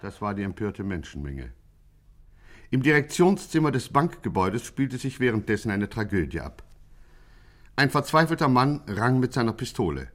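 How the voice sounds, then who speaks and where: A man narrating a satirical radio play in a measured, deadpan manner. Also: medium pitch.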